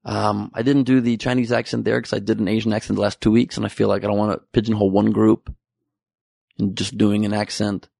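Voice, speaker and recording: Gravelly young man riffing on the news. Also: on the low side, flat delivery, fairly quick, warm tone, no echo, studio quality. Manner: irreverent, profane, joking asides. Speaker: comedy commentary host. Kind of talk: comedic news monologue.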